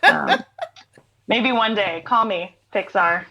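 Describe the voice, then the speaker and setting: A woman's voice: medium pitch, slow pace, pushed and bright, slightly rough, muffled recording. A woman in her thirties, a singer-songwriter talking casually as an interview guest, rambling with fillers and laughs.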